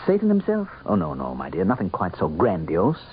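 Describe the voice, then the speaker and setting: Sardonic demon, swooping tinny radio voice. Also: male voice, low voice, huge pitch swings, brisk. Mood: suave, sardonic. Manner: urbane, mocking, theatrically polite. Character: suave, sardonic minor demon posing as a man. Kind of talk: radio mystery drama acting. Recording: muffled, band-limited old radio broadcast with noise.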